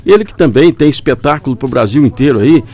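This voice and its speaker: A man's voice: medium pitch, lively intonation, fast talker, darkish tone. A Brazilian man hosting a casual radio interview in a chatty, informal way. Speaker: radio host. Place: radio studio.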